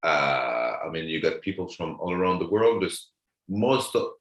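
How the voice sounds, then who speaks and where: A man's voice: deep voice, animated, moderate pace, muffled recording. A man, chatting casually as a guest comedian, often repeating words as he searches for them. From France. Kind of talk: casual chat. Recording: roomy echo.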